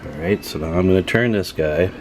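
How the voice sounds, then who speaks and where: A man with a low voice talks through a repair job step by step. His delivery is informal and folksy, like someone explaining while his hands are busy. Faint music sits behind him. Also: fairly quick. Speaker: radio repair tutorial host. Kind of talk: casual hands-on tutorial narration.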